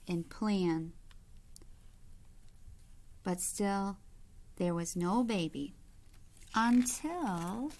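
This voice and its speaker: Slow-paced woman reading a picture book aloud. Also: mid-range voice. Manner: explanatory, asks the listeners simple questions. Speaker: teacher reading aloud to children. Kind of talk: picture book read-aloud with asides to young listeners.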